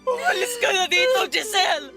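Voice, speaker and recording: A woman's voice: very high-pitched, animated, normal speed, over music. An actress playing a grieving middle-aged mother in a Tagalog radio drama, tearful and accusing as she lashes out. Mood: furious, tearful. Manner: tearful, accusing, shouting outbursts. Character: grieving, furious middle-aged mother blaming a young woman.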